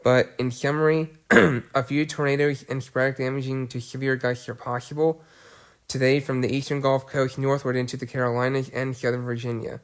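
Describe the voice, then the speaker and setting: A man's voice: mid-range voice, flat delivery, brisk, clear, resonant tone, low-quality recording. A man with a cold, sounding congested, giving a severe weather update and reading out long lists of areas with some restarts. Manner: plodding list-reading with restarts. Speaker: weather video host. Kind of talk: casual weather briefing.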